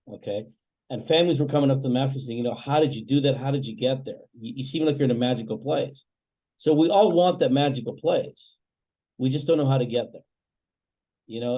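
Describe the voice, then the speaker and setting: A man from Canada, a family wealth coach, answering interview questions in a reflective, measured way with many fillers. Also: medium pitch, warm tone, rough recording.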